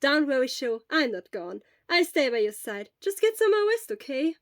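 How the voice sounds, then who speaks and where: Lady doing a loud upbeat teen boy, loyal hero type, high and emphatic, audio story. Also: big pitch swings, normal speed, no room sound, studio quality. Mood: reassuring. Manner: exuberant, earnest, emphatic.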